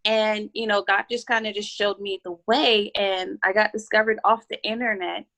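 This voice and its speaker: A woman from Baltimore, Maryland, talking as an interview guest in a steady, self-assured way. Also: medium pitch, moderate pace. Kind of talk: casual interview talk.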